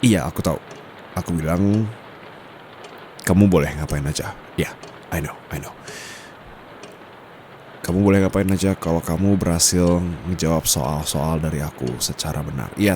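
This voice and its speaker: An Indonesian-accented man playing a stern, quietly dominant young private tutor in an ASMR roleplay, speaking formally and scolding, with bits of English mixed in. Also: deep voice, slight background noise. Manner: firm, scolding, formal, slips into English phrases. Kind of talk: ASMR roleplay, close intimate acting. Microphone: close condenser microphone.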